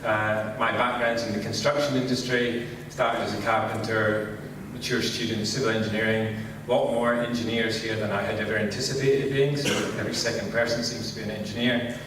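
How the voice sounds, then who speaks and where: A middle-aged man from Scotland with a Scottish accent, giving a relaxed conference talk and saying "kind of" constantly. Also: lowish voice, a little fast, background noise. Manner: relaxed, filler-heavy, says "kind of" constantly. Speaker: conference speaker. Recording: echoey hall, distant mic.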